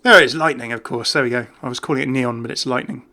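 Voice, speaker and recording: British man, gaming host, crackly bright voice, chatty, casual commentary. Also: medium pitch, fast talker. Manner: chatty, easily amused. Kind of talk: casual off-the-cuff game commentary.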